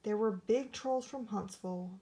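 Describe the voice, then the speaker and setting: A woman reading a rhyming children's story aloud in an expressive, theatrical storytelling manner. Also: medium pitch, moderate pace. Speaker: storybook reader.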